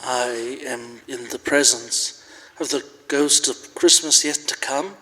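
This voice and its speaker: A man's voice: mid-range voice, fairly even intonation, takes it slowly, pushed and bright. A man playing a frightened, repentant old miser in a live radio play, earnest, pleading and theatrical. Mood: fearful, humble. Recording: live in reverberant church.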